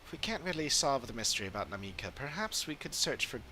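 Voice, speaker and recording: A man voicing earnest, analytical fantasy adventurers from a video game in measured, formal phrasing. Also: medium pitch, sing-song, pushed and bright, slight background noise. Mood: earnest, puzzled. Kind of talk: dramatic read-aloud of game dialogue.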